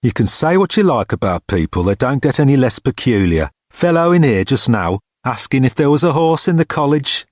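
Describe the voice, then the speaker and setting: A man voicing a large, unflappable college porter in an audiobook, dry, sceptical and deadpan. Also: medium pitch, fairly quick, hardly any pauses, no echo, voice pushed up. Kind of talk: audiobook character dialogue.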